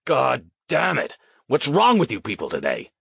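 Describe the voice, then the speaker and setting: A man playing a bullying, foul-mouthed TV producer in an audiobook, gruff, blustering and sarcastic. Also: low-pitched, big pitch swings, fairly quick, a bit bright, dry recording, full character voice. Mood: angry.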